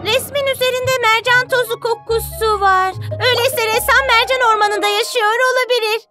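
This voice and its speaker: A woman's voice: very high voice, steady tone, normal speed. A woman voicing a self-admiring little mermaid girl in a children's cartoon, with a childlike, affected delivery. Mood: self-satisfied. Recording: loud music under the voice.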